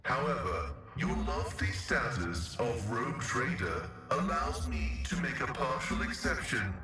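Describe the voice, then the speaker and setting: A pious robot tech priest for a game, formal preachy, metallic filter, distant with music behind. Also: male voice, mid-range voice, very animated, a little slow, bright, forceful tone. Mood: proud, zealous. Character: pious male cyborg tech-priest of the machine god. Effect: metallic voice modulator. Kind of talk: scripted video game dialogue. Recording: heavily echoing, distant processed game audio.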